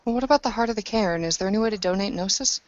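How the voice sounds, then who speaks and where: A woman's voice: medium pitch, very fast, crowd noise. A woman speaking conversationally in character as a player in a tabletop roleplaying session. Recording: muffled, dull lo-fi audio.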